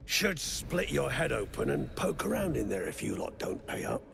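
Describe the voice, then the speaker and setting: A man playing a gruff, greedy, scheming grey dwarf mercenary in a video game, with a gravelly, coarse and menacing delivery. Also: mid-range voice, very animated, normal speed, background noise. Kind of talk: video game character acting.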